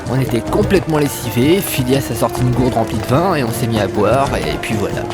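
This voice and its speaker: A man acts an earnest former soldier turned hero in a comic audio drama. His mid-range voice sounds explanatory and a bit exasperated, with loud music underneath. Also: mid-range voice, animated, fairly quick, natural voice. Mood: explaining, exasperated. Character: earnest, easily flustered young ex-soldier hero.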